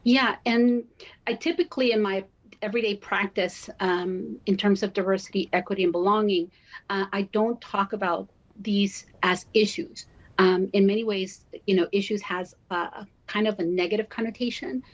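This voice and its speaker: A woman from Guatemala and Miami giving measured, deliberate, explanatory answers as a guest in a broadcast interview, often checking in as she goes. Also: medium pitch, steady tone, dull audio. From born in Guatemala, raised in Miami, Florida. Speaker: university diversity officer, interview guest.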